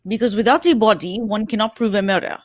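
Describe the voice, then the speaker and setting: A woman's voice: mid-range voice, quick pace, no room sound, clean studio sound, close to an everyday voice. A woman playing a shrewd, courteous male detective in an old-time radio mystery, explaining things theatrically. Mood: explaining, triumphant.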